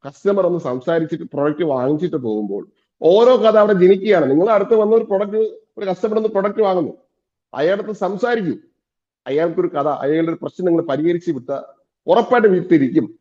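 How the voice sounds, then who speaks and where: A dull, slightly muffled recording of a man coaching business owners. His voice is soft-edged and mellow, sitting a little high for a man. He teaches emphatically, repeating key phrases as he instructs.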